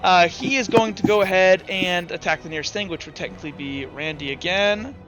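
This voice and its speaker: A man's voice: sits high, brisk. A young man acting as game master, narrating a tabletop game in loose, rambling sentences full of fillers. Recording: slightly muffled, dull audio. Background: faint background music.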